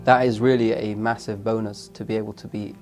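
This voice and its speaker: A man's voice: on the low side, little pitch movement, a little fast, a little mellow, soft background music. Earnest young man. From London, England. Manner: earnest, reflective, frequent restarts. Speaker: teacher trainee. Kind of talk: testimonial interview.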